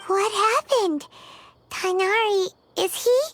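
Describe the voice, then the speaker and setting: Very high, chirpy little fairy girl. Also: slow pace, a bit bright, soft background music, exaggerated voice. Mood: worried. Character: small, chirpy floating fairy girl companion. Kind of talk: video game voice acting.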